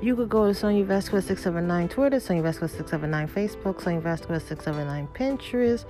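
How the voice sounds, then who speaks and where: A woman's voice: on the low side, fast, music in the background. A woman hosting a podcast, telling a personal story in a casual, colloquial way and acting out what people said. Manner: animated retelling, acts out quoted dialogue; colloquial, informal grammar. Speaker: podcast host.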